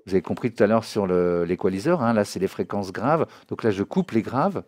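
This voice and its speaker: Low-voiced man teaching music software. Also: expressive, a little fast. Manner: didactic, often checking understanding. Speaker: music software trainer giving a tutorial. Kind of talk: informal spoken software tutorial. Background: synthesizer and music demo sounds.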